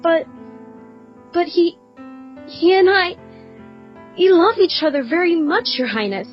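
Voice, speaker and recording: Woman acting a high-pitched, trembling meek mare. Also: lively intonation, a little slow, highs cut off, soft background music. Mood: pleading. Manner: stammering, pleading, trembling. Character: meek, nervous young mare, a lowly commoner pony. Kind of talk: fan fiction audio drama.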